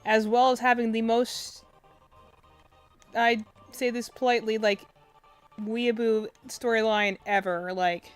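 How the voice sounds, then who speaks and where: Soft-spoken young woman rambling casually. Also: fairly high pitch, fairly even intonation, takes it slowly, long pauses, clean tone, soft background music. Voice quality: soft, quiet voice. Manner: rambling, filler-heavy, self-interrupting. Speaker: game streamer. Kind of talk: casual live-stream chat. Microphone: desk mic close to face.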